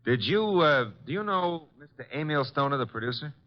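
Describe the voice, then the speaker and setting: An actor playing a brisk, wisecracking American detective's assistant in an old-time radio drama. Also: male voice, a little high, lively intonation, close to an everyday voice. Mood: businesslike. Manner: breezy, hard-boiled, questioning. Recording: dull, lo-fi old radio recording.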